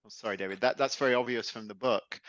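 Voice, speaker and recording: A man hosting a podcast interview in a relaxed, chatty way, with plenty of fillers. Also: medium pitch, fast talker, no room sound, lo-fi sound. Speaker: podcast host. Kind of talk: casual interview chat.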